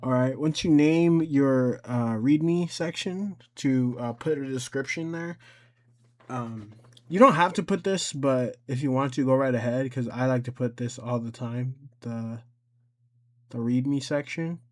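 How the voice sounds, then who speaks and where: A man gives an informal computer tutorial in a mid-pitched voice. He talks off the cuff and haltingly, often repeating a step or correcting his own wording as he goes. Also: a little mellow. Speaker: tutorial creator. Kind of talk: casual unscripted screen-recorded tutorial. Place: voice-over for a screen recording.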